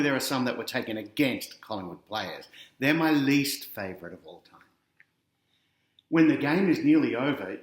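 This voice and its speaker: Echoey Australian man reading to children. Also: mid-range voice. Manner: chatty, directly addressing young listeners. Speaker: author reading his picture book aloud. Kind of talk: children's storybook reading with casual asides.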